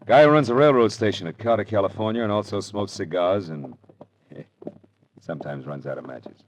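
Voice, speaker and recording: An American actor playing a hardboiled, world-weary private detective in 1940s radio drama style, clipped and colloquial. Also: male voice, lowish voice, moderate pace, a little hiss or hum, lightly acted. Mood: wry, grim. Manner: clipped, colloquial tough-guy delivery. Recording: dull old radio recording, music behind.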